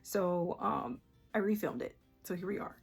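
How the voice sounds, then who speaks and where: A soft-spoken young woman with a very quiet voice, chatting casually about her art business and often trailing into fillers and restarts. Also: mid-range voice, animated, normal speed, vocal fry, breathy edge, soft background music. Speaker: artist explaining her small art business. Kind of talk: casual rambling vlog.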